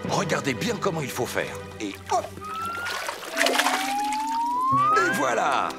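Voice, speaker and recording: Animated, patient cartoon papa bear. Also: male voice, a little high, moderate pace. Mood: encouraging. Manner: soft-spoken, patient, explanatory. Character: gentle patient father bear, adult male, teaching his cubs. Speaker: cartoon father. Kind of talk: French preschool cartoon dialogue. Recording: music behind, slightly distant voice.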